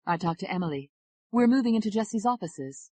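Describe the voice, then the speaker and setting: A woman's voice: medium pitch, lively intonation, brisk, darkish tone, no room sound, pitched above the performer's own voice, natural voice. A woman voicing a playful, supportive young wife who teases affectionately. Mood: teasing.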